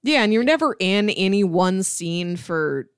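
A woman's voice: mid-range voice, expressive, a little slow, smooth, no echo, studio quality. A woman co-hosting a podcast, chatting informally about a film and acting out bits of dialogue as she retells scenes. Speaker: podcast co-host. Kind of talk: casual film discussion.

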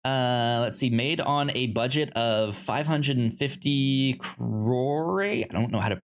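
Halting, stammering podcast co-host, medium pitch. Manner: halting, stammering, often stretching and repeating syllables. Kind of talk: casual movie podcast chat, pitching films.

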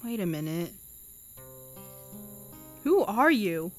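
A woman's voice: medium pitch, big pitch swings, takes it slowly, faint music behind. A young woman playing an anxious, awkward seventeen-year-old girl in an audio drama, speaking in a hesitant, flustered way. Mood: stunned.

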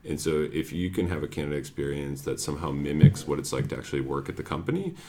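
A man, a talent acquisition director, giving relaxed, casual interview answers full of "like" and "you know". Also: extremely low pitch, fast talker, very noisy.

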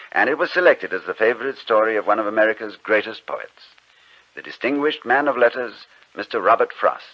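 A man narrating and announcing an old radio drama in a wry, measured storytelling manner. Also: lowish voice. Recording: dull lo-fi old radio recording.

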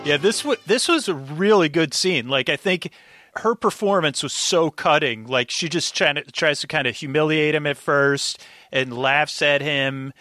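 A man's voice: on the high side, normal speed, bright, forceful tone, faint music behind. A man co-hosting a podcast, casually discussing and reviewing a drama. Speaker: podcast co-host. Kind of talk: casual chat, reviewing a radio show.

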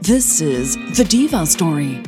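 A woman's voice: deep voice, sing-song, measured pace, over music. A woman hosting a fiction podcast, giving announcements and narrating stories.

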